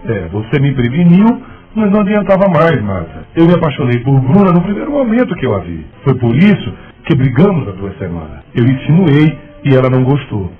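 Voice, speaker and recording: A man's voice: medium pitch, very animated, a little fast, close to an everyday voice. An actor playing an earnest, lovestruck man in a radio soap opera, with a dramatic delivery. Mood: pleading. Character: Rômulo, lovestruck earnest man, insistent and concerned. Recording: muffled, narrow phone-like radio drama sound. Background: music.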